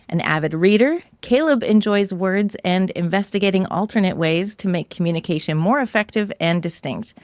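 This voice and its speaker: A woman's voice: medium pitch, no room sound. A woman hosting a podcast, talking in a casual interview style. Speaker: podcast host.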